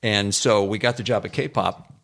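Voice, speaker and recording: Creaky-voiced older man, wry guest. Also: fairly low pitch, normal speed, fairly bright tone. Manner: wry, joking. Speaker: interview guest, radio personality. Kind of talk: casual chat.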